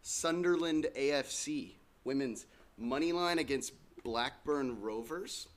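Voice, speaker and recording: A young man co-hosting a casual sports-betting podcast, relaxed with dry teasing. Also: mid-range voice, takes it slowly. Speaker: podcast co-host.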